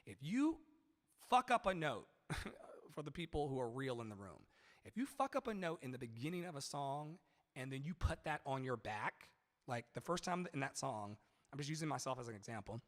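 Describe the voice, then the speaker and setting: A man with a boomy baritone-tenor voice teaching singing on a live stream, rambling and chatty, swearing casually between sung examples. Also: big pitch swings, moderate pace. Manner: rambling, chatty, profane, sings snatches mid-talk. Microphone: studio mic with added reverb. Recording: reverb-drenched streaming mic.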